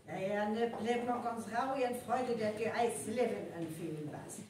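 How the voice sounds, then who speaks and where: A woman playing a composed, probing detective in a live North Frisian radio play, speaking deliberately and questioningly. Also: on the high side, a little mellow, close to an everyday voice. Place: live performance in a hall. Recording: echoey noisy hall from far off.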